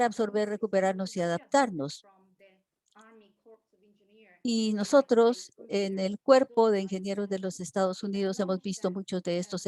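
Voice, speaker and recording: A woman interpreting a webinar live, her pitch sitting in an ordinary middle range. She keeps an even, steady flow but stops often for filler sounds while she catches up with the speaker. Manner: steady delivery with frequent hesitation fillers. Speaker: simultaneous interpreter.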